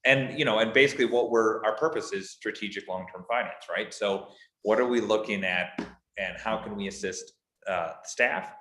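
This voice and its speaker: A man's voice: low-pitched, echoey room. A man on an advisory board, giving an easygoing, informal briefing to a city council in a council chamber. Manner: loud, easygoing, self-deprecating. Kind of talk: informal briefing at a public meeting.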